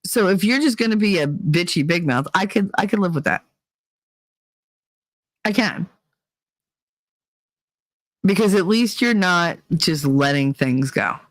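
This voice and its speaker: A woman's voice: fairly low pitch, lively intonation, measured pace, long pauses, no room sound. Blunt, profane woman ranting her opinions. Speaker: vlog host. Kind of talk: casual opinion monologue.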